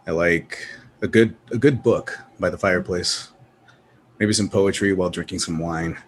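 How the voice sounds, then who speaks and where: A man's voice: low-pitched, moderate pace, slight background noise. A man in casual panel chat with a joking, irreverent manner.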